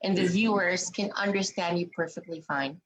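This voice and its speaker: A woman's voice: on the low side, normal speed, low-quality recording. A woman from Canada hosting an online interview, talking in a chatty way with many ums and restarts. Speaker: interviewer, host.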